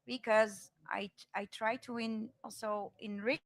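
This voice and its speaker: A woman's voice: a little high, flat delivery, measured pace. A Dutch-born woman poet whose first languages are Dutch and Moroccan Arabic, speaking on a panel. She talks thoughtfully and moves between English and Moroccan Arabic as she tells her stories. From Netherlands. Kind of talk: panel discussion.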